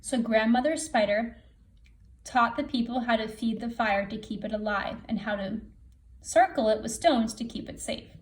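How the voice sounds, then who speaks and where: A woman teacher reading a folktale aloud to children in a deliberate, expressive storytelling way. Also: on the high side.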